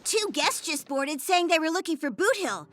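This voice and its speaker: Squeaky little creature conductor. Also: female voice, big pitch swings, moderate pace. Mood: brisk. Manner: squeaky, scolding, prim. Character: small fussy creature train conductor, stickler for etiquette. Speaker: train conductor. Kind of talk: video game voice acting. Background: game music.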